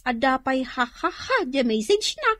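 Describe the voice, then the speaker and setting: An actress playing a bossy, chatty village wife with an Ilocano accent, bickering and dismissive in a radio comedy drama. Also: female voice, high voice, big pitch swings, measured pace, a bit bright, no room sound, full character voice. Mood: gossipy, scheming.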